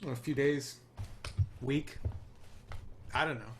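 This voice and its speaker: A man's voice: mid-range voice, steady tone, slow pace, a bit bright, a little hiss or hum. A man playing a brash, womanizing 1960s television producer in a casual, cocky way at a script reading. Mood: casual, teasing. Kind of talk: dramatic screenplay table read.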